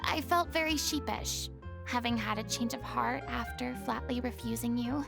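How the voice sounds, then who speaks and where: A woman's voice: a little high, normal speed, creaky. A woman voicing a proud but sincere young female former star in a video game, refined, polite and theatrical. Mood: sheepish. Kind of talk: video game character dialogue. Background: music.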